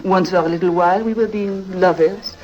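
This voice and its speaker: A woman's voice: fairly low pitch, fairly even intonation, brisk, a little mellow, muffled recording, close to an everyday voice. A woman playing an alluring, self-assured European countess in a 1950s radio drama, coy and coaxing, with a Central European accent and foreign phrasing. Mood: tender, wistful.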